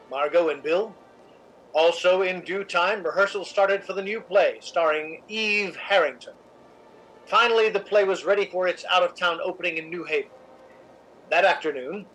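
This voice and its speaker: A man playing a suave, cynical, controlling theatre critic in a radio drama, dry, urbane and measured. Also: sits high, a bit bright, low-quality recording. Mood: wry. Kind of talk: recreated 1950s radio drama acting and narration.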